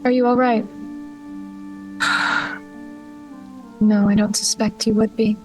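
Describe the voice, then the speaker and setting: A woman's voice: medium pitch, flat delivery, slow. A woman playing a tabletop roleplaying game, moving between casual table talk and improvised character speech. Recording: background music under the voice.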